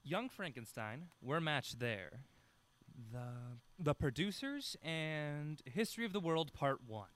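Audio drama friend: young film fanatic, playful, mock-formal, widely varied intonation. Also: male voice, fairly high pitch, very animated, slow, a bit bright, a little hiss or hum, full character voice. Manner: bantering, mock-formal, teasing.

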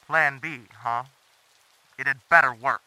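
A man playing a smooth-talking, quietly threatening schemer in an audio drama, measured and condescending. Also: medium pitch, no echo, low-quality recording.

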